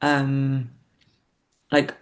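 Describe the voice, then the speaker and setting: A woman's voice: a bass voice, monotone, drawn-out words. A woman talking reflectively as an interview guest, hesitant, thoughtful and self-effacing. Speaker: podcast guest, playwright and performer.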